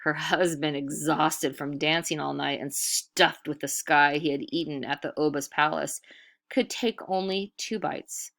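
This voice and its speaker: Female storyteller, low register, for expressive picture-book narration with deliberate, dramatic emphasis. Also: vocal fry.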